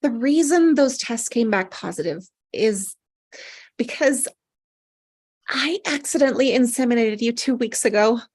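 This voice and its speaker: A woman acting a guilty, anxious doctor in a comedy drama table read, halting and apologetic. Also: on the high side, lively intonation, darkish tone, breathy edge.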